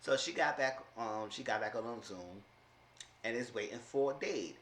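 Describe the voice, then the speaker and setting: A man in his thirties casually recapping a reality show, telling the story with lively voices for the people he quotes. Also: measured pace, roomy sound, slight background noise. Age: 30s. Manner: animated storytelling, acting out quoted people with big pitch jumps. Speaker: TV show reviewer.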